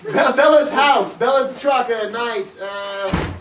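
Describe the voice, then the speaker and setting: A man's voice: extremely high pitch, takes it slowly. A man reading stage directions aloud in a live comedic script reading, playful, often stumbling and breaking into asides. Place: echoey room with an audience. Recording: echoey room, distant mic, audience noise.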